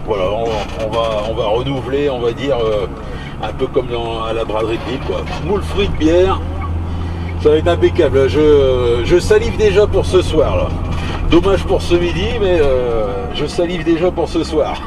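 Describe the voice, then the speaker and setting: A man's voice: mid-range voice, normal speed. A man chatting casually on CB radio, hesitant and often repeating his phrases. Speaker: CB radio operator, channel regular. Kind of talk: casual French CB radio chat. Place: in or beside a vehicle, outdoor countryside. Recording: noisy roadside recording with vehicle hum.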